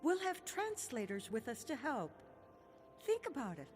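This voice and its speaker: A woman's voice: sits high, wildly swooping pitch, measured pace, darkish tone, soft background music, exaggerated voice. An actress playing a friendly, encouraging young woman in a radio drama, upbeat and persuasive.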